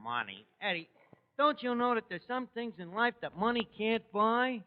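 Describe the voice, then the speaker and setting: An actor playing a brash, wisecracking New York tavern manager in 1940s radio comedy, with colloquial, mangled grammar. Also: male voice, sits high, big pitch swings, normal speed. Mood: self-satisfied. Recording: dull restored 1940s radio, hall reverb.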